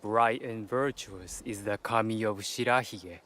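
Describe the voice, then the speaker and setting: Low, gentle shrine attendant. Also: male voice, a little slow, a little hiss or hum. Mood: reverent. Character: gentle, reverent male shrine attendant offering blessings. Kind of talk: video game cutscene acting.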